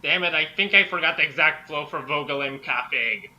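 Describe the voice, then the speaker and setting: A man's voice: a little high, fairly quick, bright, forceful tone, dull audio. A man chatting casually as a guest commentator. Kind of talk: casual chat.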